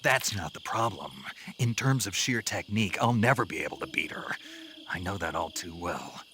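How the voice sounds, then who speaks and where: An actor playing a gruff male special forces agent, talking in low, terse lines. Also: moderate pace. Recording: game cutscene with steady ambience noise.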